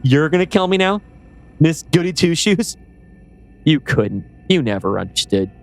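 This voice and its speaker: Jilted male killer acted by a man: strained high voice, menacing, possessive, theatrical pleading and sneering, horror drama. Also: very animated, unhurried, creaky, a little mellow, exaggerated voice. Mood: mocking.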